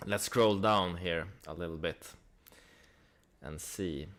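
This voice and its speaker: A man's voice: sits low, takes it slowly, fairly bright tone. A man giving casual investment commentary in a conversational way, with rhetorical questions and dry asides. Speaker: host of a stock analysis channel.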